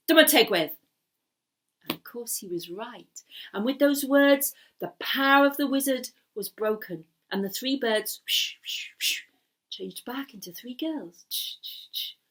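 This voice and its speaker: A woman telling a story in an animated, dramatic way, often dropping to a hushed breathy delivery. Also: high-pitched. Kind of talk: animated bilingual oral storytelling.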